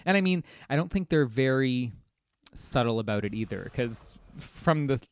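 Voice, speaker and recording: A man's voice: medium pitch, animated, moderate pace, smooth. A man chatting casually as a podcast guest, informal and a little rambling, often correcting himself mid-thought. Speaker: podcast guest co-discussing a game. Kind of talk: casual chat.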